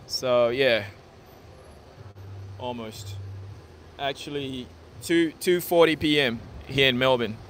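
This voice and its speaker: A man's voice: medium pitch, slow, long pauses. Ghana-born man vlogging on a noisy street. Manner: relaxed, repetitive, drops in "okay" and "yeah" often. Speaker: vlogger live-streaming. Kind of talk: casual live-stream chat, reading viewer comments aloud. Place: sunny city street outdoors. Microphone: phone held at arm's length. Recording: phone recording on a noisy street. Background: street and traffic noise.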